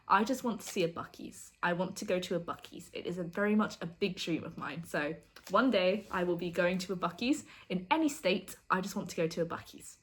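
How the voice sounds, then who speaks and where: Young woman, from the UK, chatty, giggly, self-deprecating, casual vlog chat, close mic. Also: medium pitch. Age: young adult. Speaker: vlogger. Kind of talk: casual get-to-know-me chat.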